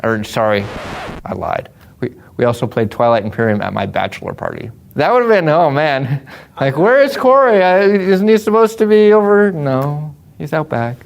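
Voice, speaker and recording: A man's voice: on the high side, expressive, moderate pace, creaky, slight background noise. A man, a game designer, fondly and playfully reminiscing in a documentary interview.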